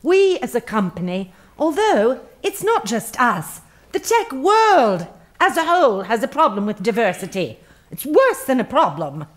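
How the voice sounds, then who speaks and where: An actress playing an earnest, self-important HR manager in a satirical radio play, over-solicitous and gravely sanctimonious. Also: female voice, on the high side, big pitch swings, measured pace. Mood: solemn, earnest.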